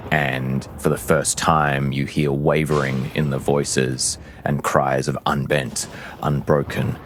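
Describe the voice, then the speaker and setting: A man narrating a tabletop game as game master, measured and theatrical in his storytelling. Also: very deep voice, background noise. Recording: loud plucked-guitar music bed. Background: loud guitar music.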